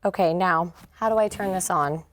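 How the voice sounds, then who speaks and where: A woman's voice: fairly low pitch, normal speed. Curious American woman asking questions. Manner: curious, plainly read questions. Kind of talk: scripted question-and-answer dialogue.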